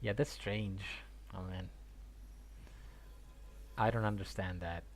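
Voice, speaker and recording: A man live streaming a game and chatting casually, halting and often repeating his words. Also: on the low side, steady tone. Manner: halting, repetitive. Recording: faint background noise.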